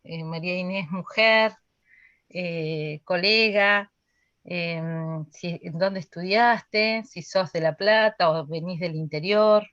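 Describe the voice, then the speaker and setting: Female interviewer, Argentine accent. Unhurried, halting delivery, lo-fi and close sound. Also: lowish voice, fairly bright tone, dry recording. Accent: Argentine Rioplatense. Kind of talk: interview introduction. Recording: dull, lo-fi audio.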